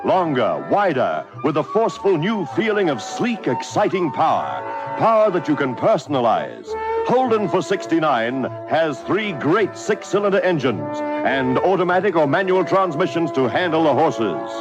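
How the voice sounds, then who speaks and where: A male commercial announcer with a fairly high, very animated voice. He sells emphatically in 1960s radio advertising style, with music clearly playing behind him. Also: moderate pace, highs cut off. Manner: punchy, emphatic salesman delivery.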